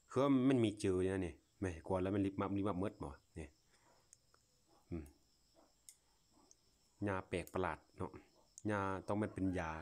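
Casting: male Lao vlogger, low-pitched voice, folksy and full of wonder, off-the-cuff outdoor phone commentary. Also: darkish tone. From Laos. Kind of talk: casual unscripted commentary to viewers while filming. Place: outdoors, at a grassy patch.

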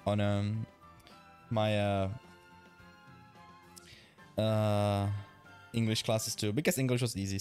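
A man's voice: mid-range voice, a little mellow. A young man from the Czech Republic with a Czech accent, a streamer chatting casually, reading chat aloud and swearing now and then. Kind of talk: casual live-stream chat while gaming.

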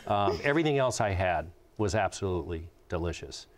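A man's voice: low voice, expressive, a little fast, a loud crowd. A man in his fifties, a guest reviewer, chatting playfully and emphatically about dishes at a studio table. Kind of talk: casual chat. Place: TV studio set at a dining table.

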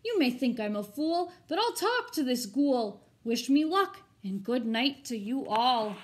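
A woman's voice: high voice, sing-song, unhurried. A woman voicing a small, brave young boy from a storybook, earnest and reasonable. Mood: determined.

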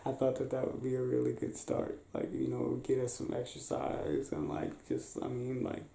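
A young man doing an affectionate ASMR boyfriend roleplay, soft-spoken, halting and playful, as if chatting in bed. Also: mid-range voice, expressive, creaky. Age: young adult. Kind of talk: ASMR boyfriend roleplay, improvised intimate chat. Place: small indoor bedroom, lying on a bed. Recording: roomy bedroom sound with faint hiss.

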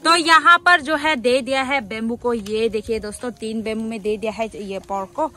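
A woman's voice: fairly high pitch, normal speed, music in the background. A young woman with a Northeast Indian accent narrating a casual cooking vlog, recorded on a handheld camera at a rural home. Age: young adult. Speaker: vlogger. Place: rural bamboo house, kitchen and yard. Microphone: phone or camera at arm's length.

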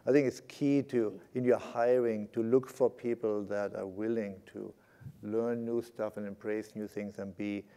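A man's voice: on the low side, steady tone, a little slow, mellow, clean tone. Older man on a conference panel. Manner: conversational, gestures a lot, tags points with "right?". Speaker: panelist, IT executive. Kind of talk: panel discussion. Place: conference stage. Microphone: lapel mic.

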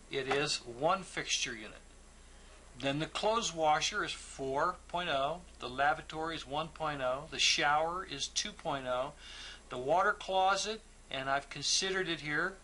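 A man teaching a technical lesson, patient and methodical as he talks through each figure. His voice is bright and forceful at an ordinary pitch. A faint hiss sits under his voice. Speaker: plumbing instructor. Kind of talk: instructional walkthrough.

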